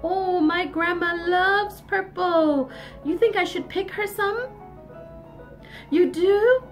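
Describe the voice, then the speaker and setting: A woman voicing a sweet, eager little girl in a storybook tale, with a high, chirpy childlike voice. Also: slow pace, faint music behind. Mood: cheerful.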